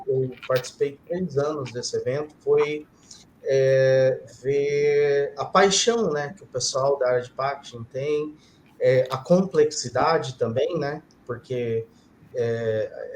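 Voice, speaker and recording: A man hosts the panel at an unhurried pace, searching for words and leaning on fillers. His voice echoes around the room. A faint noise runs underneath. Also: medium pitch, darkish tone. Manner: hesitant, many "é" and "né" fillers. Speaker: podcast host, moderator. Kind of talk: casual moderated panel. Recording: roomy sound with faint noise.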